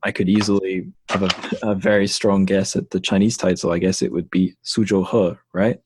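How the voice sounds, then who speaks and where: A man from the United Kingdom hosting a podcast interview in a casual way, pausing often with fillers and restarts. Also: sits low, little pitch movement, background noise. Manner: hesitant, many fillers and restarts. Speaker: podcast host.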